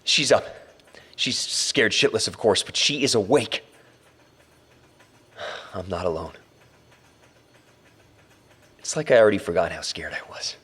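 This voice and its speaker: A man playing a frightened but wry kidnap victim in an audio drama, recording halting messages for help. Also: on the low side, expressive, sharp tone, breathy edge, full character voice. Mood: tense, relieved. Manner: halting, self-correcting, wry.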